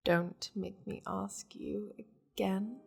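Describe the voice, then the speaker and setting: A woman plays a powerful demon lady in an intimate ASMR roleplay. She speaks slowly and languidly, with a fry-edged voice that slips into breathy half-whispers. Her manner is seductive, teasing and possessive toward her pet. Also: medium pitch, steady tone, exaggerated voice. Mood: cool, commanding.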